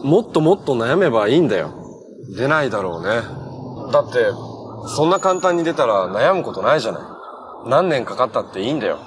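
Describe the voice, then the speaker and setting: A man's voice: mid-range voice, sing-song, a little fast, natural voice. A man acting as a kind, easygoing young hero in natural television drama dialogue. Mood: gentle, encouraging. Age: young adult. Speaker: actor in a television superhero drama. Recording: noisy TV clip audio with ambience.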